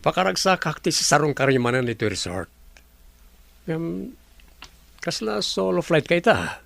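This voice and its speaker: A man's voice: a little high, very animated, a little slow, gravelly, fairly bright tone, dry recording, heavily acted. A man with an Ilocano accent playing a courteous, smitten resort owner in a comedy radio drama, speaking politely and welcomingly. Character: courteous, smitten gentleman who owns a resort, Vince. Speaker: voice actor.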